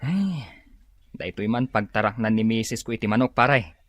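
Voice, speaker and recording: An actor playing an easygoing, hard-up husband in a radio drama, speaking in a folksy way with an Ilocano accent. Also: male voice, lowish voice, animated, normal speed, dry recording, lo-fi sound, natural voice. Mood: hopeful, friendly.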